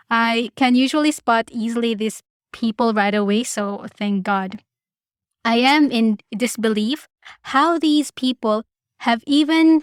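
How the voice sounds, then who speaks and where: Female podcast host, higher-pitched voice, informal and opinionated commentary addressed to listeners, very dry recording. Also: smooth, dry recording. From Philippines.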